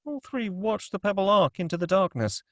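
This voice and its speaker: A man's voice: high voice, big pitch swings, normal speed, no echo, clean studio sound. A man reading scripted stage directions as the narrator of a screenplay table read.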